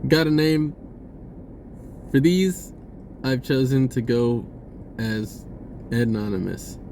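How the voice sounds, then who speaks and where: A man speaks slowly at an everyday middle pitch, halting and searching as he rambles through an informal spiritual monologue. His speech is laid-back and slangy, with repeated words. There is noticeable background noise behind him. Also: fairly even intonation, mellow. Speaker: spiritual vlogger.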